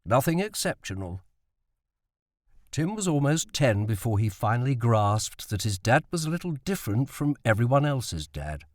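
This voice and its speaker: A man's voice: mid-range voice, big pitch swings, normal speed, hardly any pauses, no echo, clean studio sound. A man reading a novel as an audiobook narrator.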